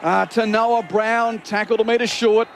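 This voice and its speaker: A man's voice: high-pitched, fairly even intonation, a little slow, over music. A man giving live rugby commentary on a noisy radio broadcast. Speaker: sports commentator.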